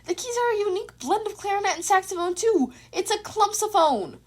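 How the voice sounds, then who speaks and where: A woman performs an excitable teenage boy from an anime fan story. The voice is very high and pushed up further, emphatic and full of outraged insistence. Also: fairly quick. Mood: indignant, outraged. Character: indignant, excitable teenage boy hero with odd instrument.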